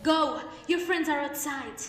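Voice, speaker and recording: A woman playing a fierce female fighter who makes bold, quick quips. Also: sits high, far from the mic. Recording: roomy, loud noise.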